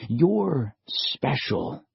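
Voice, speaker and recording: A man voicing a mysterious old stranger who speaks in a grave, measured, gentle way. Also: medium pitch, huge pitch swings, gravelly, husky, no room sound, heavily acted. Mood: sorrowful. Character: mysterious ancient male stranger, calm, sorrowful. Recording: dull lo-fi audio.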